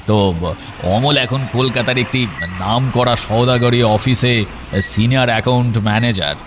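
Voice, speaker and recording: A man's voice: mid-range voice, fairly even intonation, normal speed, natural voice. A man playing a genial, gossipy tea-stall keeper in an audio drama, with a chatty, teasing way of talking. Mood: amused teasing. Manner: chatty, teasing, chuckling drawl. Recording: noisy street ambience with vehicles.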